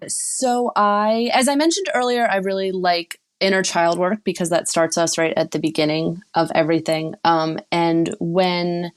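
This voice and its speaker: Earnest woman coach, lowish voice. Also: fairly even intonation, normal speed, hardly any pauses, clean tone. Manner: earnest, explanatory, uses fillers. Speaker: sex and relationship coach guest. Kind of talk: casual interview talk.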